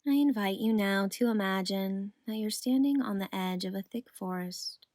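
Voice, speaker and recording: A woman guiding a meditation in a soothing, gentle, unhurried manner. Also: medium pitch, dry recording. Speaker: meditation guide. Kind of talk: guided meditation narration.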